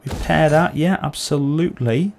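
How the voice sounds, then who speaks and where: A man's voice: on the high side, moderate pace, creaky, a little mellow, slight background noise. A man with a sore throat and occasional cough, casually commenting as he plays a strategy game. He thinks aloud and corrects himself as he goes. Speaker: gameplay channel host.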